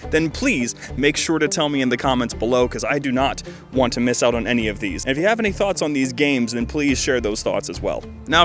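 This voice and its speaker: A man giving casual, rambling video commentary as he reviews games, with dry joking asides and frequent self-interruptions. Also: medium pitch. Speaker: video creator reviewing fan-made games.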